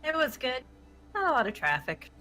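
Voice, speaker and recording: A woman's voice: high-pitched, big pitch swings, moderate pace, pushed and bright, soft background music, heavily acted. A woman playing a playful, caring friend in her twenties, speaking conversationally in a dramatic script reading.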